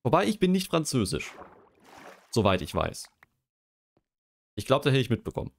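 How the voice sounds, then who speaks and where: A man's voice: mid-range voice, wildly swooping pitch, normal speed, vocal fry. A young man streaming a game, chatting casually and joking while he reads quiz questions aloud and muses over his answers. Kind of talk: casual livestream chat while gaming.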